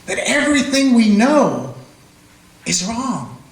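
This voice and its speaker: A man playing a steady small-town Old West lawman in a radio play, telling the story plainly in the first person. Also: extremely high pitch, slow pace, exaggerated voice. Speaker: narrator and lawman character. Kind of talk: amateur radio play acting. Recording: echoey room with guitar music.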